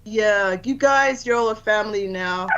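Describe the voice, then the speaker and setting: A woman's voice: mid-range voice, normal speed, lo-fi sound, noisy background. Chatty laughing woman from the Bahamas. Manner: laughing often, warm and chatty. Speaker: guest singer-songwriter. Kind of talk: casual chat.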